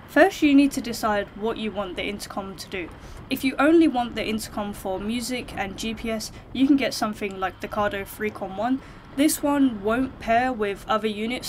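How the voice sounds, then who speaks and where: A British woman talks to viewers in an informal, frank way, like a vlogger explaining options. Her voice sits at a medium pitch. There is noticeable ambient noise, like a vehicle, behind her. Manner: informal, frank, hedged opinions. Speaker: motorcycle vlogger giving buying advice.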